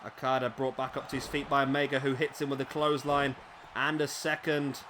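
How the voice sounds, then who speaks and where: A man's voice: medium pitch, a little fast, background noise. Man calling wrestling play-by-play. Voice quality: slightly raspy. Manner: excitable at big moves, chatty asides. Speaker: let's play commentator. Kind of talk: play-by-play game commentary.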